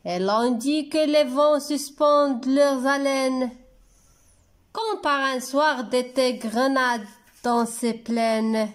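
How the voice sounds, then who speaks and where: Very slow, high-voiced woman reciting poetry. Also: steady tone. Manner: measured, deliberate, phrase by phrase. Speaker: teacher reading a poem for pupils. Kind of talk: slow poetry recitation for dictation and reading lesson.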